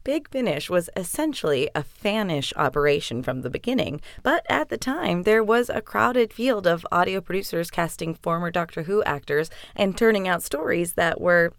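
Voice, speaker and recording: Woman podcast host reading a script, kinda low voice w lots of fry, super dry sound. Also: animated, normal speed, no echo. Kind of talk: scripted narration.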